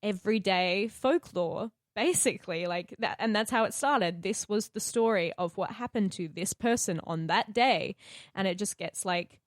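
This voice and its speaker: A woman's voice: mid-range voice, normal speed, dry recording, studio quality. A woman with an Australian accent chatting casually on a podcast, in an informal, sweary way. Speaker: podcast co-host and actor.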